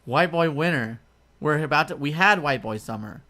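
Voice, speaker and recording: A young man co-hosting a podcast, teasing friends with deadpan provocations. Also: a little high, moderate pace. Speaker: podcast co-host. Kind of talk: casual banter.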